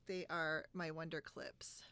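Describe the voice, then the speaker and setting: A woman's voice: deep voice, little pitch movement, unhurried, bright, forceful tone, smooth. A woman chatting casually in a bubbly, giggly way, recorded at home on a video call. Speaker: podcast host. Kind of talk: casual chat about sewing favorites.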